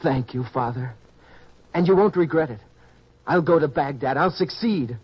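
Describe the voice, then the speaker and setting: An actor playing an ambitious, determined young farmer's son in an old-time radio drama, earnest and insistent. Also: male voice, on the high side, normal speed, husky. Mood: eager, hopeful. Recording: dull lo-fi radio recording.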